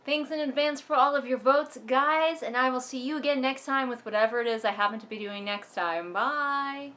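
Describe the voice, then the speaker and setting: A woman's voice: high voice, moderate pace, fairly bright tone. A woman hosting a book vlog, chatting casually to viewers and reading aloud with wry, sardonic asides. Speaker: book commentary vlogger and host.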